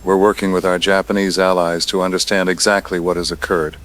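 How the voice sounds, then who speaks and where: A man's voice: deep voice, level tone, brisk, fairly bright tone. A man playing a guarded senior defense official in an audio drama, giving formal, placating statements. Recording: faint background ambience.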